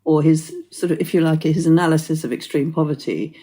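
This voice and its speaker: A woman's voice: low voice, a little fast, dark tone. An Englishwoman hosting a poetry podcast, musing aloud in long, thoughtful sentences. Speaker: podcast host. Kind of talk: conversational interview.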